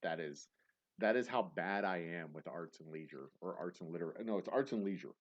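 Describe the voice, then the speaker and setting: A man's voice: low-pitched, lively intonation, normal speed, lo-fi sound. A man hosting a trivia game night on a livestream, rambling with self-mocking jokes and restarts. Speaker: host reading trivia questions on a livestream. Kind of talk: casual live-stream banter.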